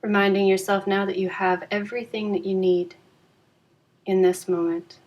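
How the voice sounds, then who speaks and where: A woman leading a guided yoga nidra relaxation in a calm, soothing, unhurried way with long pauses. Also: medium pitch.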